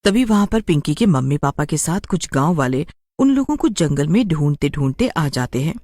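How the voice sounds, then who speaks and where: A woman's voice: low voice, sing-song, moderate pace, warm tone, no room sound. A woman storyteller giving scripted, dramatic narration of a story.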